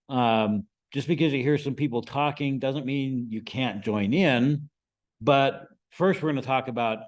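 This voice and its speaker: A man's voice: medium pitch, darkish tone. An American man giving an informal instructional presentation to a group, conversational, often checking in with short questions. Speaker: presenter, amateur radio instructor.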